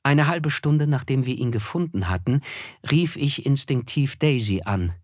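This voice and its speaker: An actor playing a sober, observant first-person narrator in a literary radio play. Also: male voice, medium pitch, expressive, normal speed, a little mellow, no room sound, clean studio sound, natural voice. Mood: sombre, reflective. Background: music.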